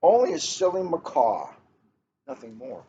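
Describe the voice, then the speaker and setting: A middle-aged man with unhurried, deliberate phrasing, chatting casually and reading a storybook aloud. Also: on the high side, huge pitch swings, warm tone. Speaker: police officer reading a picture book aloud. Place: coffee shop, indoors. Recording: muffled, noisy room recording.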